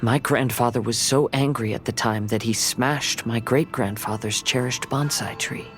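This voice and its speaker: A man playing a gentle, pensive young wandering samurai in a video game, speaking in a measured, polite, reflective way. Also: medium pitch, steady tone, over music, natural voice. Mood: earnest. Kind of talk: scripted video game voice acting.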